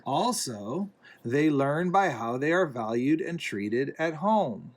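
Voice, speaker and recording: A man reading a children's picture book aloud in a deliberate way, pausing between phrases. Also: a little high, expressive. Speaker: reader, parent reading to a young child.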